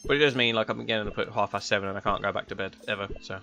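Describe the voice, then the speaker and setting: A young man with a slightly raspy voice, chatting in a rambling, laid-back way while streaming a game. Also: lowish voice, steady tone, racing, pushed and bright. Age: young adult. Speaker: gaming streamer. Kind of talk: casual chat while playing. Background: game music.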